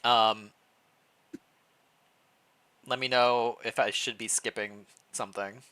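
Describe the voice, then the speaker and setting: A streamer reading fan fiction aloud on a casual livestream, playful and reacting out loud, sometimes stumbling over the text. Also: mid-range voice, steady tone, slow.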